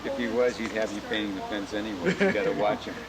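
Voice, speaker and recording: A man's voice: lowish voice, big pitch swings, fast, gravelly, poor audio. A man joking with guests as he records anniversary messages at an outdoor backyard party. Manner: joking, prompting, friendly. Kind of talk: casual interviewing of guests. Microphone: handheld camcorder. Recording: loud crowd chatter of a backyard party.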